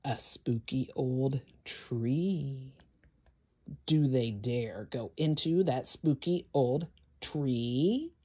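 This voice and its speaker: A woman's voice: very deep voice, extremely slow, warm tone, breathy edge. A woman hosting a children's story time, reading aloud in a dramatic, suspenseful way with whispers and gasps.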